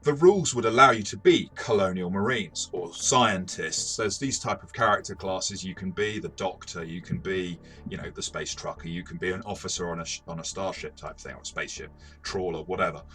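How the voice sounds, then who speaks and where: A man with a fairly low voice and a bright, forceful edge hosts a relaxed review chat. He speaks in a halting way, circling back over words and often chuckling as he talks. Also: soft background music. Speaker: host, game master reviewing tabletop RPGs. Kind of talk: casual review discussion.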